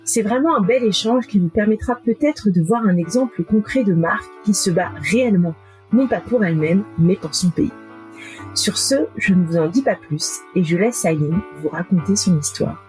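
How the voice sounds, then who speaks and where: An upbeat, friendly woman hosts a podcast, moving from a read introduction into easy chat with her guest. Her voice sits at an ordinary middle pitch. Faint guitar music plays low behind her. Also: lively intonation, normal speed, darkish tone, poor audio. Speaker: podcast host. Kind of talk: podcast hosting, scripted intro then casual chat.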